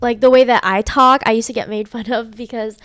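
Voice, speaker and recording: A young woman with a high voice co-hosting a podcast, chatting casually and rambling, filling her talk with "like.". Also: fairly even intonation, a little fast, a bit bright, no room sound, clean studio sound. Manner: rambling, heavy use of "like". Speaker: podcast co-host. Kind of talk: casual chat.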